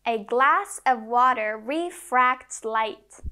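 A young woman presents a scripted lesson for young learners. Her pitch is on the high side, and she speaks at a very slow pace, setting out the words one by one. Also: animated, loud music behind the voice. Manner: measured, word-by-word delivery for learners. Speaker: presenter of a children's science lesson. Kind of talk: scripted educational narration in simple English.

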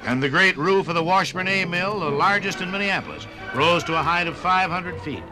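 A male narrator from a 1950s radio broadcast, with a fairly high-set voice that moves animatedly up and down. He delivers scripted history with emphatic, measured phrasing, and music plays behind him on the old record. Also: expressive, poor audio.

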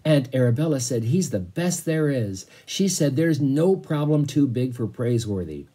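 Man voicing a plucky, high-pitched young boy. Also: animated, moderate pace, dark tone, slightly rough. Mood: eager. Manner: eager, stubborn, respectful. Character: earnest, plucky twelve-year-old boy stowaway.

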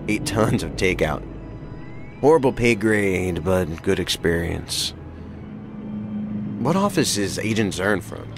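An actor playing a seasoned, thoughtful investigator in an audio drama, measured and terse. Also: male voice, low voice, very animated, music in the background, exaggerated voice.

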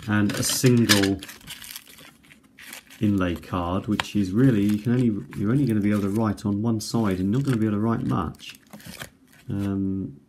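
Guy with a lowish voice doing a casual unboxing, thinking out loud, bit of background noise. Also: fairly even intonation, normal speed. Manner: hesitant, musing, thinking aloud. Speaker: video host reviewing items. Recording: home recording with faint background noise.

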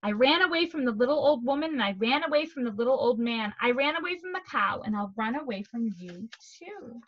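A woman's voice: on the high side, expressive, a little fast, muffled recording. A woman voicing a boastful little male gingerbread man from a storybook in a taunting, sing-song chant. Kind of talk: read-aloud storybook character.

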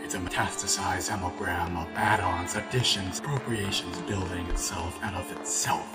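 A man playing a haunted, paranoid scientist in a comic fan dub, musing slowly and ominously in philosophical monologue. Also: low voice, steady tone, natural voice. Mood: musing, dark. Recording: echoey voice under loud music.

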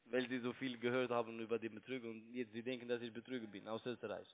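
A man's voice: mid-range voice, level tone, quick pace. A man who grew up in Italy, a call center trading broker, talking in broken German on a phone call, pushy and mocking. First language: Italian. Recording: tinny phone line.

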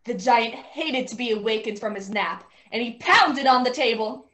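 A woman's voice: fairly high pitch, animated, moderate pace. A woman reading scripted storybook narration over a video call from a bedroom. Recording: noisy, echoey video call audio.

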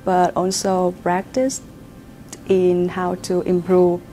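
A woman's voice: fairly low pitch, monotone, slow, mellow, soft background music. A young woman from Vietnam with a Vietnamese accent, answering interview questions as a student and searching for her words. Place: university lab building, indoors.

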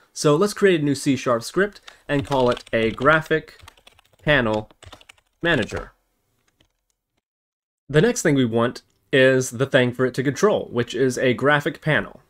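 A man hosting a software tutorial, explaining each step as he narrates a screen recording. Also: medium pitch. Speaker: tutorial host.

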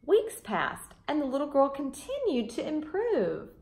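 A woman's voice: sits high, wildly swooping pitch, measured pace, darkish tone. A woman in her thirties reading a children's storybook expressively, with teacherly asides, recorded indoors at home. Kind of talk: expressive picture-book read-aloud. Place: front room of a house, indoors.

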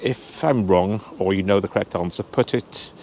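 Male travel vlogger, 50s, casual walk-and-talk outdoors, lowish voice. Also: normal speed, a little mellow, a little hiss or hum. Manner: chatty, talking straight to camera while walking. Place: outdoors on a cold hillside. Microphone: handheld camera at arm's length.

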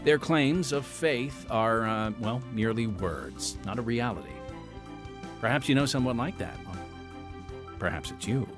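A man's voice: medium pitch, animated, a little slow. A man hosting a podcast, talking conversationally with fillers before introducing the episode. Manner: conversational, with fillers and dramatic shifts. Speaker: podcast host. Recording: music bed under the voice.

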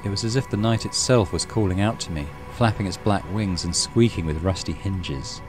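A man's voice: low voice, normal speed, music in the background. A man reading a horror visual novel aloud as a dramatic narrator for a let's play.

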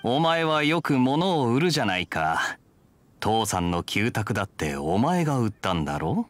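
A man voicing a suave, sly young officer in an anime game, needling and smooth-talking. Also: medium pitch, very animated, moderate pace, natural voice. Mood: teasing. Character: suave, teasing young cavalry officer, sly tavern drinker. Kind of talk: Japanese anime game voice acting.

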